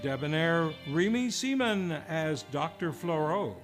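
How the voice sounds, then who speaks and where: Male host, high-pitched, florid golden-age radio announcing, music underneath. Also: animated, measured pace. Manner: grand, florid showman delivery. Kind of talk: theatrical old-time radio announcing. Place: radio show performed live in a theater.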